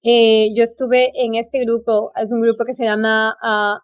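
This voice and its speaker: A woman's voice: a little high, flat delivery, unhurried, clear, resonant tone. A woman with an Ecuadorian Spanish accent hosting a casual travel conversation, effusive and given to emphatic repetition. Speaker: podcast host.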